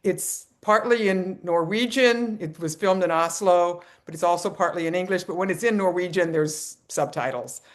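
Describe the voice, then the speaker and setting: A medium-pitched voice in an informal group conversation about films. The speaker talks in a halting way, doubling back and restarting phrases as the recommendation unfolds. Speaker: film club member. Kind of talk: casual group discussion, informal film recommendation.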